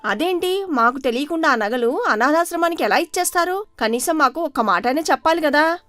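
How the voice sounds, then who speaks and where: Two-faced young housewife played by an actress, higher voice, pointed and grasping, for a moral-story cartoon. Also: female voice, lively intonation, a little fast, a bit bright, no echo, faint music behind. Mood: indignant, greedy. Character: two-faced, greedy young daughter-in-law.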